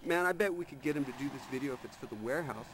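A man acting an animated, excitable pro skater. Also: mid-range voice, very fast, background noise, natural voice. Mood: eager. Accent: American. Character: excitable, good-natured male pro skateboarder. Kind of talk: video game cutscene acting.

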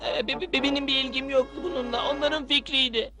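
An adult as a high-pitched, whiny cartoon prankster boy. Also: fairly even intonation, fairly quick, lightly acted. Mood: panicked. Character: mischievous boy prankster, sneering, cowardly, self-serving. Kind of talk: cartoon dub acting. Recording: music behind the voice.

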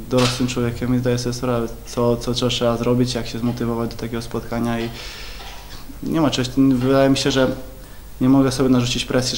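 A young man, a football player, answering questions at a press conference, halting with many repeated words and fillers. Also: mid-range voice, a little hiss or hum. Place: club press room, indoors. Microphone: table mics in front.

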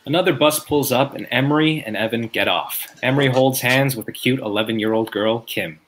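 A man with a medium-pitched voice narrates a sitcom script. He reads the scene headings and stage directions from home over a video call. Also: a little fast, fairly bright tone, slight background noise.

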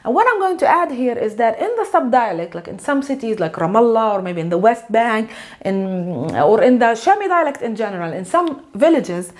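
A high-voiced woman in her thirties, a native Arabic speaker, teaches at a whiteboard. She is animated and emphatic, and she slows down to repeat key words. She is recorded close on a lapel mic in a bright room. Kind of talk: whiteboard language lesson.

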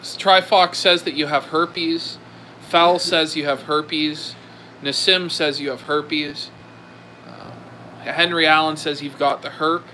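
A man's voice: on the high side, fairly even intonation, slow pace, sharp tone, slight background noise, low-quality recording. A man reading viewer chat aloud and teasing the host in a sarcastic way.

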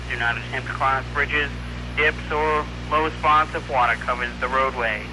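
A man announcer on weather radio, reading scripted forecasts and warnings in a formal, measured way. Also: mid-range voice, fairly even intonation. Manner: formal, measured bulletin delivery. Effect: radio broadcast. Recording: noisy 1990s weather radio broadcast with hum.

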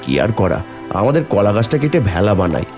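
A man's voice: lowish voice, big pitch swings, brisk, a little mellow, faint music behind. A man playing a poor, kindly village husband in a cartoon, earnest and plaintive. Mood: worried, pleading. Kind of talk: cartoon fairy-tale voice acting.

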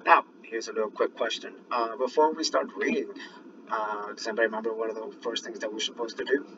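A man's voice: on the low side, steady tone, quick pace, pushed and bright, a little hiss or hum. Male teacher on a dull lo-fi recording. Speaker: teacher reading aloud to pupils. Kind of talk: informal online lesson and read-aloud.

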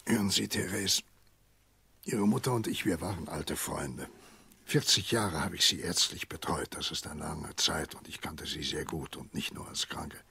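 An actor playing a grave, kindly elderly family doctor in a radio play, speaking in a measured, husky voice. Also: male voice, extremely low pitch, sharp tone, heavily acted. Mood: grave, insistent. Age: older. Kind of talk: German radio play acting.

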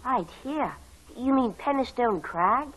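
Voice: medium pitch, animated, slow pace, fairly bright tone, poor audio. A performer playing a shy, literal-minded young boy in a 1940s radio drama. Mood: hesitant, earnest.

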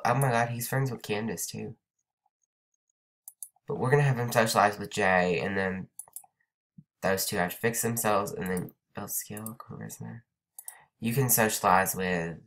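A woman's voice: extremely low pitch, a bit bright. A young woman hosting a let's play, chatting casually over gameplay. She has a cold and sounds stuffed up, and she rambles with lots of "like" and "um". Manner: chatty, rambling, says "like" and "um" often.

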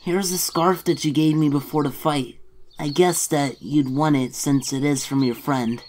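A woman's voice: low-pitched, steady tone, unhurried, a little mellow, reverberant, long reverb tail, slight background noise. A woman playing a timid young mouse-like creature in a comic dub, hesitant and stammering. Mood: calm, shy. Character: timid, frightened young Pikachu, small electric mouse creature.

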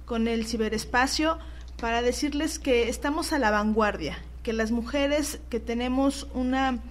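A woman's voice: a little high, a little hiss or hum. A Mexican woman speaking as an interview guest, in an earnest, emphatic, rhetorical way like a political activist. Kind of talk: earnest speech-like interview talk.

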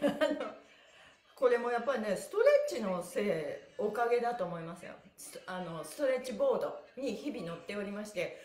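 A middle-aged woman leading a live stretching class, cheerful and encouraging as she chats with viewers. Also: a little high. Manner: cheerful, smiling and laughing often, chanting encouragement. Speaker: stretching instructor and livestream host. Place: small indoor room with bare walls. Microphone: camera or phone a few steps away. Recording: roomy echo, recorded at some distance.

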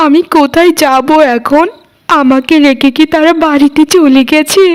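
A woman's voice: high voice, fairly even intonation, normal speed, close to an everyday voice. A woman voicing an innocent, obliging young girl, the trusting youngest sister in a cartoon fairy tale. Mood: frightened, pleading.

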